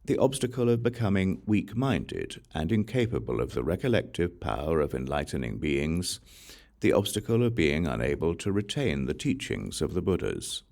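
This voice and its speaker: Very deep-voiced man reading scripture. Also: big pitch swings, moderate pace, gravelly, darkish tone. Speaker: audiobook reader.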